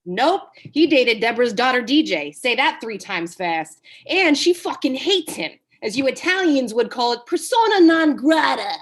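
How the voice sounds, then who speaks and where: A woman's voice: high-pitched, very animated, moderate pace, few pauses. A woman reading the part of a crude, cocky male Hollywood agent in a comedy table read, blunt and taunting. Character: brash, crude, foul-mouthed male Hollywood talent agent.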